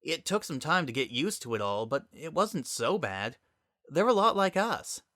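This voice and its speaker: Guy doing a tired sarcastic girl pony, guarded, bright voice, cartoon fan story reading. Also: a little high, sing-song, moderate pace, no echo, studio quality, voice pushed up. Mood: reflective. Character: young female pony, weary, guarded, wryly sarcastic.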